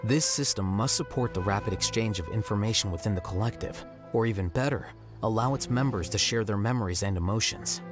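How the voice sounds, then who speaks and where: Man doing a cool analytical scholar, lowish voice, measured delivery, game music behind. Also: close to an everyday voice. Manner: calm, measured and explanatory. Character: composed male scholar, analytical and aloof.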